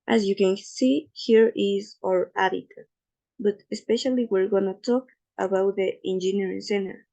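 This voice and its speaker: Gravelly-voiced woman presenting slides. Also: mid-range voice, steady tone, mellow. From Ecuador. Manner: careful, slightly halting non-native English with fillers. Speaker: student presenter.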